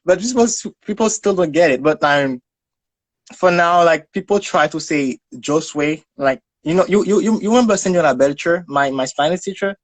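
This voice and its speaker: A man's voice: on the high side, animated, moderate pace, no room sound. A young man from Haiti with a Haitian accent, telling personal stories casually and often repeating words as he searches for the next one. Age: young adult. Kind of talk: casual conversational storytelling.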